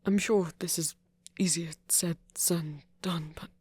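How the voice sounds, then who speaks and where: A man playing a soft-spoken, curious and reassuring vampire in an intimate audio roleplay, with a breathy, deliberate delivery. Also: a little high, fairly even intonation, no echo. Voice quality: breathy, husky.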